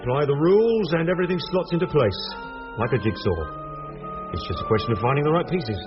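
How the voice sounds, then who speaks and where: An actor playing a down-to-earth, self-assured British police detective in a radio drama, emphatic and scornful. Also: male voice, a little high, animated, fast talker, lightly acted. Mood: confident, dismissive. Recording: muffled radio drama, loud music behind.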